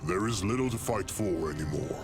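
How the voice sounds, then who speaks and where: A man's voice: sits low, expressive, a little fast. A man playing a world-weary older orc warchief in solemn fantasy game dialogue. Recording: loud music under the voice. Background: loud music.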